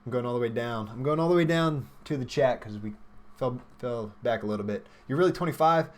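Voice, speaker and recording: Guy in his 20s on a livestream, casual, kinda rambling, thinks out loud while answering chat, medium pitch. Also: fairly quick. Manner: informal, rambling, thinks aloud. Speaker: livestream host, software developer.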